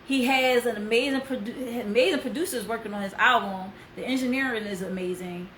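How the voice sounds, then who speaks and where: A woman hosting a casual online interview show, enthusiastic and affectionate, quick to laugh. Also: a little high, a bit bright, reverberant, slight background noise. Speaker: show host.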